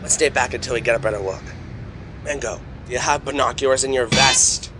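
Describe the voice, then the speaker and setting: A man voicing an earnest, excitable, by-the-book alligator secret agent who gives dramatic warnings. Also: mid-range voice, fairly quick, background noise, close to an everyday voice. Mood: urgent. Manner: dramatic, urgent warnings that swing into grave explanation. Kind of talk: animated comedy fandub acting.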